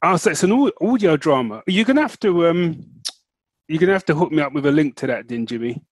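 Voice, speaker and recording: Lively, higher-pitched man, slightly raspy. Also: quick pace, no room sound. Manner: curious, reacting and asking questions. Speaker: podcast co-host. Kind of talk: casual chat.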